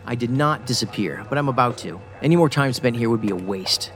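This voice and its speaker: A man's voice: medium pitch, expressive, a little fast, close to an everyday voice. A man playing a stubborn, sardonic federal agent in a science fiction audio drama, speaking dryly and insistently. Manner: dry, insistent, sarcastic. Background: music.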